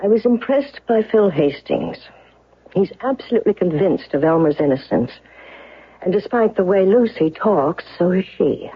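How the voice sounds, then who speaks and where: Husky, insistent woman lawyer. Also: medium pitch, expressive, normal speed. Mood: measured, confiding. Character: earnest, probing woman defense lawyer, principled and plain-spoken. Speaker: actress in a radio drama. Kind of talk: radio mystery drama acting. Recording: dull, lo-fi old radio broadcast.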